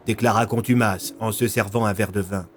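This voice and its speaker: A man's voice: fairly low pitch, steady tone, fairly quick. A man narrating a scripted audio story in the manner of a storyteller. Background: faint music.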